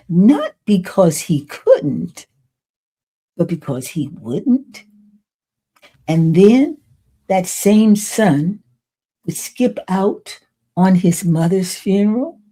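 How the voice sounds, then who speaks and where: An elderly woman in her eighties hosting a podcast, telling a personal testimony in a deliberate way, with dramatic pauses between short phrases. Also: on the low side, warm tone. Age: 80s. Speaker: podcast host. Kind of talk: personal testimony storytelling to listeners.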